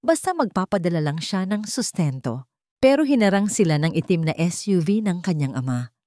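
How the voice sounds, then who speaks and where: Female storyteller, lowish pitch, dry close sound, scripted romance drama narration. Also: sing-song, normal speed, dark tone, no echo.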